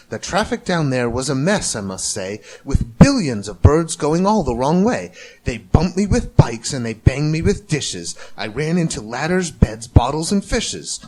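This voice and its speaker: A man's voice: fairly high pitch, a little mellow, poor audio. A man narrating a rhyming storybook with theatrical, rhythmic delivery. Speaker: amateur storybook reader.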